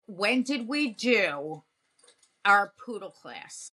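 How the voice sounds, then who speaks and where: A woman's voice: mid-range voice, sing-song, drawn-out words, pushed and bright. A woman co-hosting over a video call who talks in an effusive, storytelling way about grooming. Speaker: co-host, dog groomer. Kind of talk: casual chat.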